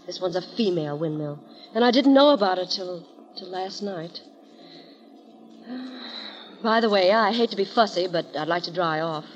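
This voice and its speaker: An actress plays a grumpy young woman with a dry, deadpan wit. She answers in curt wisecracks in the manner of 1930s radio comedy. The old broadcast recording is dull and muffled. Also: lowish voice, normal speed, long pauses, close to an everyday voice. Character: grumpy, wry young woman on a runaway road trip.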